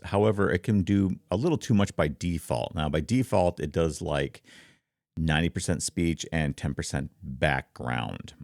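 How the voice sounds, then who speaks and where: Extremely deep, gravelly-voiced man. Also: a little mellow, no room sound, clean studio sound. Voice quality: very deep, rumbling. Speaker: content creator, streamer. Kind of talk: casual explainer to viewers. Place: home office. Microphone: close studio microphone.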